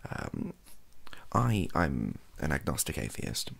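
A young man with an extremely low, heavily creaky voice talks softly, as in an ASMR video. His intonation wanders very widely as he rambles in a hesitant, self-deprecating way. He sits close to the microphone. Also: wildly swooping pitch, takes it slowly. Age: young adult. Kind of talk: softly spoken ASMR chat. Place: small bedroom at a desk.

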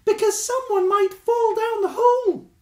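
A man doing a squeaky dim badger, cheerful, very high, echoey room. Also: fairly even intonation, unhurried.

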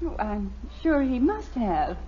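A woman's voice: a little high, big pitch swings, slow pace, warm tone, slightly rough, heavily acted. A woman playing a patient, teasing American suburban wife and mother in a radio sitcom, with a dry, polite manner. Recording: muffled noisy vintage radio, audience behind.

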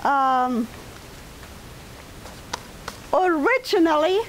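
An older woman with a heavy German accent, giving a loud, projected talk to an audience in a library meeting room. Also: high voice, expressive, very slow, slight background noise, rough recording. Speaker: guest speaker. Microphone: far camera.

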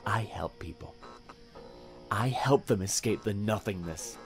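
A man's voice: fairly low pitch, faint music behind. An actor playing a sinister, self-righteous serial killer in an audio drama, taunting and theatrical as he philosophizes. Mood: taunting, menacing. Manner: theatrical, deliberate, taunting menace with whispered dips. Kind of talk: audio drama villain monologue.

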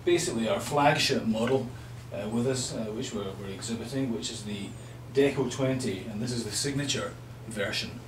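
A man in his fifties with a Scottish accent informally presenting a product as an exhibitor, explaining in a hesitant, unhurried way. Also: mid-range voice. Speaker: loudspeaker company exhibitor. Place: small hotel exhibition room, indoors. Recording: roomy hotel room, light background noise.

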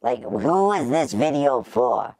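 A man voicing a blunt, skeptical robot sidekick through a robot voice effect, deadpan and dismissive. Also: mid-range voice, slow, vocal fry, breathy edge, no echo, full character voice, rough recording. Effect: robot voice changer.

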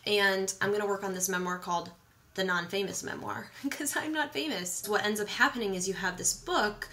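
Female vlogger, thirties, bright voice at medium pitch, chatty and animated with playful asides. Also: brisk. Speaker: vlogger and musician. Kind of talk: casual chatty vlog to camera. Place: small home kitchen, indoors.

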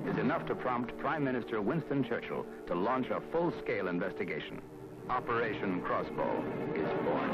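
Deep-voiced man narrating an archival documentary. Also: background noise. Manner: measured, dramatic, formal delivery with weighty pauses. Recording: dull, lo-fi archival film soundtrack. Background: prominent background music.

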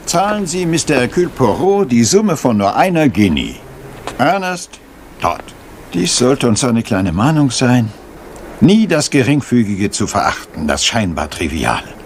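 An actor playing a fussy, vain little detective, pompous and precise, in a German radio crime drama. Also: male voice, medium pitch, big pitch swings, measured pace, slight background noise. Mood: self-satisfied, musing. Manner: pompous, precise, sprinkles in French exclamations.

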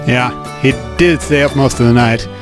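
A man's voice: medium pitch, moderate pace. Casual, wry man narrating a game. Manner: casual, wry, dry humor. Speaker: let's play host. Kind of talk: translating and commenting on a game. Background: game music.

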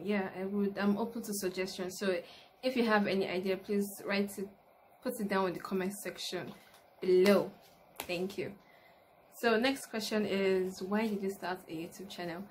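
Casting: woman, early twenties, Nigerian accent, informal YouTuber chat, home recording with audible background noise. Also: mid-range voice. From Lagos, Nigeria. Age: early 20s. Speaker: YouTuber, university student. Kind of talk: casual chat to camera, question and answer. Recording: noticeable background noise, room ambience.

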